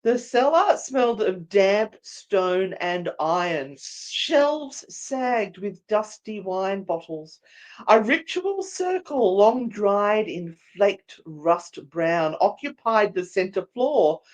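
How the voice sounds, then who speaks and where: A woman's voice: medium pitch, animated, slow, darkish tone. A woman hosting and narrating a dramatic story read in a theatrical, playful way, with casual banter. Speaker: host and narrator.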